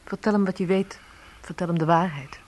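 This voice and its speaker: A woman playing a crook's concerned, gently questioning girlfriend in a Dutch radio play. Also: on the low side, brisk, close to an everyday voice.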